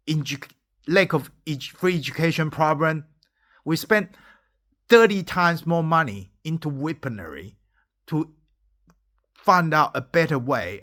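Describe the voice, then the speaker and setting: A man's voice: fairly high pitch, fairly bright tone. Forties man, Taiwanese and Mandarin native, from Taiwan; emphatic vlog monologue, keeps checking in with "okay?", swears in places. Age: 40s. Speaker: entrepreneur vlogger. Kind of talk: impassioned monologue to camera.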